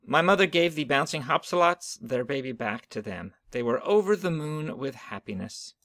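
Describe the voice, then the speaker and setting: Male storybook narrator, even and gentle, dry home recording. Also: a little high, moderate pace, dry recording. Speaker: reader of a children's book. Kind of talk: reading a picture book aloud.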